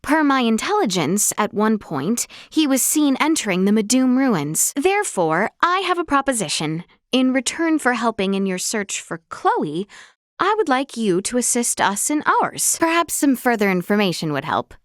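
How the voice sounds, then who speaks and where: A young crown princess from a fantasy video game. Her voice is fairly high and highly melodic, in a dry, close recording. She speaks with formal, old-fashioned courtly phrasing, earnest and gracious. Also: sing-song, moderate pace, no echo. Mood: serious, measured.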